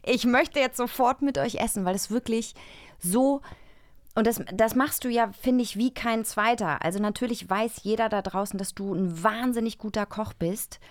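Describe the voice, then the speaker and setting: A woman's voice: fairly high pitch, normal speed, no echo, clean studio sound. A woman chatting casually as a co-host, with an emphatic, effusive way of talking. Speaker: podcast co-host. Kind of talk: casual chat.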